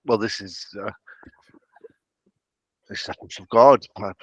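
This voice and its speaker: A man's voice: on the low side, slow pace, no echo. A man improvising in-character dialogue as a tabletop game player, recorded over a dull internet call.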